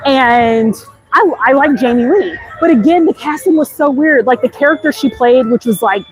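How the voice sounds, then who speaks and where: A woman's voice: high voice, normal speed, soft background music, rough recording. An American woman hosting a casual, chatty walk-and-talk livestream, conversational and speaking directly to her viewers. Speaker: livestream host. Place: outdoors walking through a busy theme park. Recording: outdoor ambient noise. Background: busy theme park ambience.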